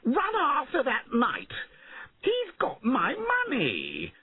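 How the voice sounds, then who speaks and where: A man voicing an angry, cruel rich landowner in a storybook, blustering and shrieking with drawn-out cries. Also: very high voice, wildly swooping pitch, breathy edge, no echo, phone-quality sound, pitched above the performer's own voice, full character voice. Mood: furious.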